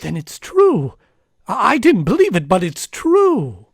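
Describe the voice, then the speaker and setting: A man acting a megalomaniac villain posing as a professor in an old-time radio play, speaking in a deliberate, grandiose, theatrical manner. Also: high-pitched, huge pitch swings, breathy edge, no echo, studio quality, heavily acted. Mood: feigned awe.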